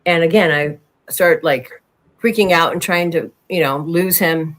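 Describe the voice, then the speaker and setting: A woman from Chicago hosts a casual podcast chat, quick with exclamations and eager reactions. When she tells her own story she gets animated and vivid. Her voice sits a little low for a woman. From Chicago, USA. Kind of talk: casual chat and personal anecdote.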